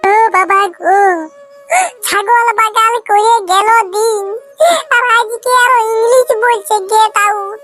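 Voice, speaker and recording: Bratty young boy, very high voice, insistent whining, Purulia Bengali accent, cartoon comedy, music underneath. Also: level tone, slow pace, pushed and bright, heavily acted. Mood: tearful, threatening. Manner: wheedling, insistent, repetitive. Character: whiny, demanding young boy begging and threatening tantrums.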